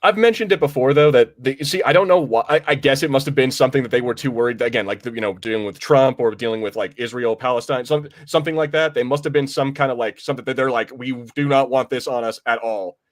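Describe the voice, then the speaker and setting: Quick-talking guy on a movie podcast, rambling and slangy, mid pitch. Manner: rambling, slangy, swears casually. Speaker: podcast co-host. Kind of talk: casual movie review chat.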